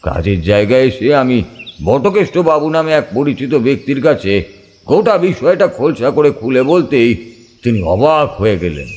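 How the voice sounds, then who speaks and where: A man's voice: medium pitch, sing-song, moderate pace, a little mellow. A man narrating a first-person horror audiobook with suspenseful, theatrical phrasing over faint music. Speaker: story narrator.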